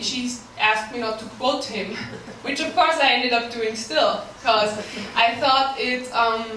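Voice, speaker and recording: A young Austrian-accented woman with a somewhat high voice gives an earnest, prepared talk, pausing on frequent ums. Her tone is bright and clear. The recording is distant and very echoey, with faint room ambience. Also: a little hiss or hum. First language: German. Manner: earnest with light laughs and many ums. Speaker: staff writer.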